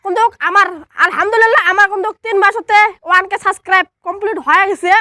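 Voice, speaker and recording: A woman's voice: extremely high pitch, steady tone, quick pace, music in the background. A woman YouTuber chatting directly to her viewers, thankful and chatty as she talks to subscribers. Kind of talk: casual vlog talk to subscribers.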